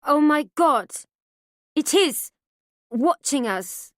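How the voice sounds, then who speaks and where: A controlling middle-aged mother, shrill and frantic, her voice pitched well up and loud as she pleads and berates, as a woman reading aloud puts her on. The delivery is slow and dry, close to the mic. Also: expressive, dry recording, heavily acted. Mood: frightened. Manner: shrill, pleading, then screaming.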